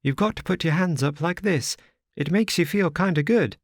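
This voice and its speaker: A chirpy little pioneer girl, innocent and chatty, her voice pitched high and light, as a male narrator puts her on. The recording is dry and close for an audiobook. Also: normal speed, no room sound, natural voice. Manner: high, bright childish voice.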